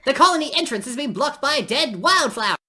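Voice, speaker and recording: A woman's voice: high-pitched, animated, quick pace, pushed and bright, pitched above the performer's own voice. A woman voicing an earnest, melodramatic heroic ant, declaiming every line with big emphasis. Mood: dramatic, determined. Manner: declaiming, over-the-top emphatic.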